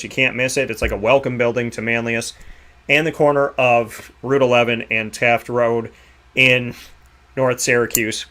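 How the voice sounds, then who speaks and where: Guy hosting a sports radio show, boston accent, talks fast and rambles thru shout-outs. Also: medium pitch. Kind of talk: enthusiastic sign-off with sponsor plugs.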